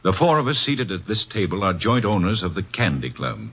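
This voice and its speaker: An actor playing a formal, pompous club owner who hosts a card game, in a 1950s radio drama, heard on a dull lo-fi old radio recording. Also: male voice, low-pitched, sing-song, a little fast, slightly rough, full character voice. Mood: poised. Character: smooth, formal middle-aged club owner.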